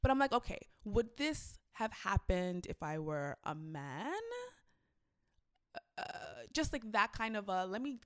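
A young woman who DJs, chatting casually and telling a story with lively shifts, acting out what people said. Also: mid-range voice, a little slow, smooth. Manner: animated storytelling, acts out quotes. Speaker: club DJ. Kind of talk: casual conversation.

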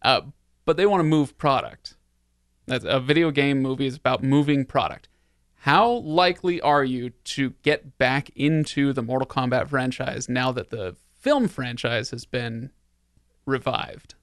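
A man's voice: medium pitch, measured pace, a bit bright, clear, resonant tone, no echo, clean studio sound. A man hosting a casual panel chat, with a joking, rambling way of telling things. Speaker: podcast host.

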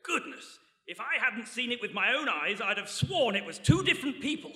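A dim, self-important ship's captain for radio comedy drama. The voice is very high and piercingly bright. It exclaims pompously and echoes through a live hall. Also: male voice, big pitch swings, brisk, heavily acted. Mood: astonished. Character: pompous, dim-witted male ship's captain, easily amazed. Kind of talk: radio play acting. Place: stage before a live audience.

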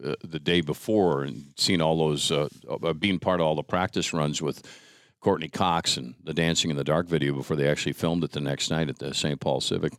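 A man's voice: a bass voice, fairly even intonation, fairly quick, no room sound, clean studio sound. A middle-aged American man hosting a casual chat, reminiscing in a rambling, conversational way. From rural United States. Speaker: podcast host.

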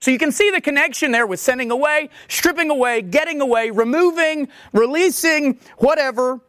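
A preacher giving a verse-by-verse sermon, restating each word's meaning several times and slowing down to quote passages. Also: high voice, fairly bright tone, dry recording. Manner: explains word meanings with repeated restatements and frequent restarts. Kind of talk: expository sermon on scripture.

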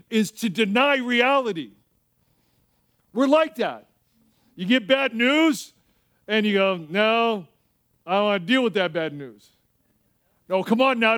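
A man preaching as a pastor in an animated, conversational way, repeating phrases for emphasis and telling humorous personal stories. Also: very high-pitched. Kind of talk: sermon with personal anecdotes and acted-out dialogue.

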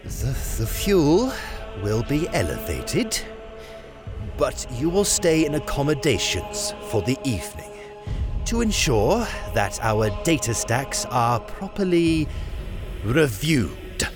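A scornful, greedy lord in a sci-fi audio drama. He drawls extremely slowly, his pitch leaping up and down theatrically as he sneers over faint music. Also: big pitch swings. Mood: grudging. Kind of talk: science fiction audio drama acting.

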